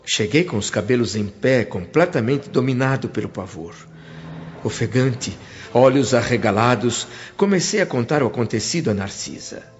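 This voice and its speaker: A man's voice: medium pitch, expressive, normal speed, big-room sound, close to an everyday voice. A Brazilian man acting an earnest, remorseful spirit who tells his afterlife story in a reflective, literary way for a radio drama. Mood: frightened. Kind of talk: radio drama narration and dialogue. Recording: muffled audio with music behind. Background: background music.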